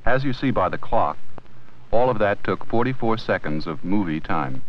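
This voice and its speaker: Man, deep voice, scripted educational narration, measured delivery, muffled old 16mm film audio. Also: animated. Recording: dull vintage 16mm film soundtrack.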